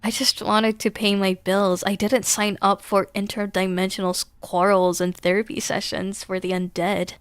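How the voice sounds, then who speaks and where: A woman's voice: mid-range voice, level tone, moderate pace, hardly any pauses, smooth, dry recording. A woman game streamer, moving between reading game narration aloud and casual live chat.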